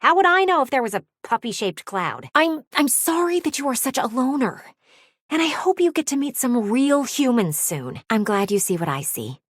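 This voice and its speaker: An actress voicing a pushy, blunt talking window in a video game, with bossy, dramatic emphasis. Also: female voice, high voice, big pitch swings, normal speed, dry recording, studio quality. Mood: earnest, wistful, then frank, firm.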